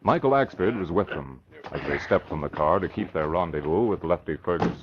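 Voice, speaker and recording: A man's voice: low voice, animated, brisk, slight background noise. A man narrating a 1940s radio serial in a dramatic, declamatory style. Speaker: announcer and narrator. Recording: muffled old radio recording. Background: music.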